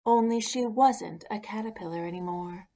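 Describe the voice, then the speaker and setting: A woman narrating a children's picture book aloud in an expressive, deliberate way. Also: medium pitch. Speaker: children's story reader. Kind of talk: read-aloud storybook narration.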